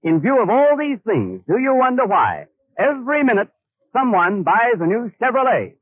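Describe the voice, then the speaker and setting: Animated high-voiced American radio announcer, male. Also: normal speed. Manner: emphatic, formal salesman delivery. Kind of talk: 1930s radio commercial announcing. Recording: narrow, muffled 1930s radio broadcast.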